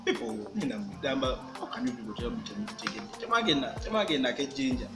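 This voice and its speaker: A man's voice: medium pitch, sing-song, fast. A man, joking and teasing in casual food-tasting chat. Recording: echoey room with loud music. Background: loud background music.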